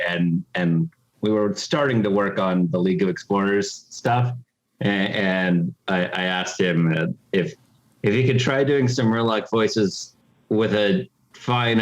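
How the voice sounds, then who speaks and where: Male game developer, low voice, informal interview guest with a wry storytelling manner. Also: unhurried, dull audio. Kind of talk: casual interview chat.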